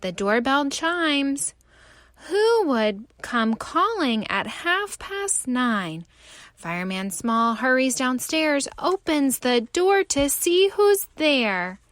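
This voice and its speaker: A woman reads a picture book to young children in a high voice, unhurried and theatrical. Her pitch swings widely as she tells the story, in the expressive style of a storytime host. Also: no room sound, studio quality. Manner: animated, theatrical delivery.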